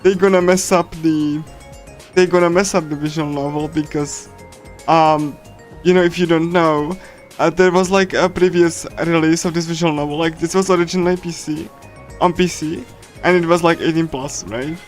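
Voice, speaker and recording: A man with a slightly high voice talks casually on a livestream as he reads through a visual novel. He speaks haltingly, like a giggly, chatty fan, with soft game soundtrack music playing behind him. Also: fairly even intonation. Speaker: streamer reading a visual novel. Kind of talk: casual livestream chat with loose readthrough.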